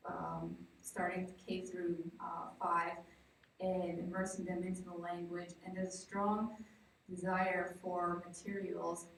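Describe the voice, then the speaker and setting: A woman from the southwestern United States giving an informal, explanatory conference presentation as a project researcher, piecing her thoughts together with pauses. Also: on the low side, vocal fry. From Navajo Nation, southwestern United States. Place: large echoey indoor presentation room. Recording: very echoey room, distant mic, faint noise.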